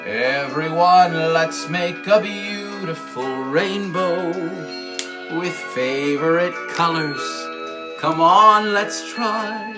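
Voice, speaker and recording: A man's voice: high voice, drawn-out words. A man hosting a children's puppet show and sing-along, exclamatory and encouraging, repeating his phrases. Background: music.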